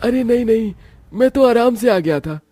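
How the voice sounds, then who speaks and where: A man's voice: very high voice, expressive, normal speed, warm tone, no room sound, studio quality, faint music behind, voice pushed up. A man voicing a formal, easily offended businessman visiting in a cartoon moral story. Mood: polite. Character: adult male business guest, polite but easily offended.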